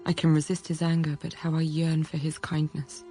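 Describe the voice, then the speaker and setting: Introspective first-person radio drama narrator, young, troubled. Warm dark tone, even delivery, soft music behind. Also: female voice, low-pitched, normal speed. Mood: conflicted.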